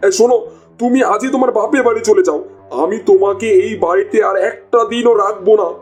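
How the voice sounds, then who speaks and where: A man's voice: sits high, fast talker, soft background music, natural voice. A man voicing an easily exasperated village husband in a cartoon, with an exclamatory, scolding way of talking. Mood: angry, scolding. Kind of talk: Bengali cartoon dialogue.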